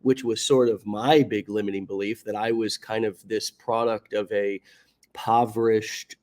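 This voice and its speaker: Man, American, podcast host, somewhat low voice, reflective, halting delivery. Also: steady tone, a little mellow. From United States. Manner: reflective, halting, with many restarts and fillers. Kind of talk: motivational interview conversation.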